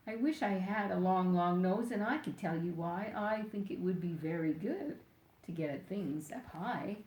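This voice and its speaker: An older woman reading a children's book aloud expressively and deliberately, playfully speaking straight to young listeners. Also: on the low side, a little mellow, echoey room, a little hiss or hum, poor audio. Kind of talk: expressive picture-book read-aloud with asides.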